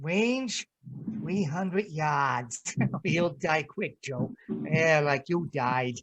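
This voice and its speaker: A man acts out a deranged young gangster killer in an old radio play. He is whining, desperate and fiercely loyal, with agitated, slangy muttering that swings widely in pitch. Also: expressive, very slow, a bit bright, highs cut off, heavily acted. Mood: gloating, muttering. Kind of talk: old-time radio drama acting.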